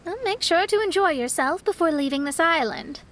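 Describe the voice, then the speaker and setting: A woman's voice: very high-pitched, expressive, fairly quick, fairly bright tone, slight background noise, exaggerated voice. A woman voicing a poised, earnest young astrologer in a video game. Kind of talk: video game character voice acting.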